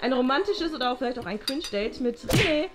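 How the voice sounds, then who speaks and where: A woman's voice: high voice, lively intonation, fast talker. A young woman presenting a casual vlog as its host. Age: young adult. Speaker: host giving remote instructions. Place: indoor home studio and small set. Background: music.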